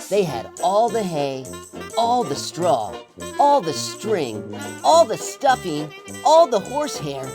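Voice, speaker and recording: A woman narrates a children's storybook over clear background music. The delivery is slow and deliberate, with a slightly low voice that rises and falls very widely. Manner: deliberate, expressive read-aloud. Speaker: storybook reader. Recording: music behind the voice.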